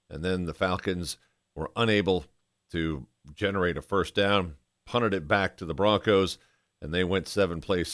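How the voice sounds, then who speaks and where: A man's voice: low-pitched, a bit bright, no echo, studio quality. A man hosting a sports show, delivering a scripted recap in an announcer's style. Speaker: sports podcast host and football play-by-play announcer. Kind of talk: scripted recap and live play-by-play commentary.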